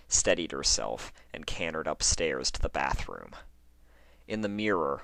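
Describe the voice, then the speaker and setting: Low male voice narrating horror fiction, theatrical, really swoopy delivery, dry close sound. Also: vocal fry, no room sound. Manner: theatrical, expressive. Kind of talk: dramatic scripted horror story narration.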